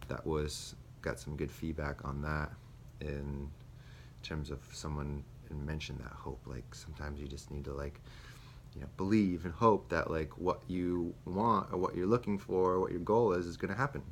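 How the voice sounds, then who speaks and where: A young man, a student teacher, reflecting aloud on a lesson he taught, with many pauses and fillers. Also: rumbling low, steady tone, vocal fry. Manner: hesitant, many ums and restarts. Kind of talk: spoken self-reflection. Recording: faint background noise.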